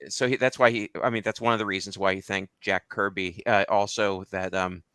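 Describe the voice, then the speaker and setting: A man chatting casually as a show panelist, halting and meandering but enthusiastic. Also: lowish voice, little pitch movement, pushed and bright, no room sound, clean studio sound. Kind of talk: casual chat, comics commentary.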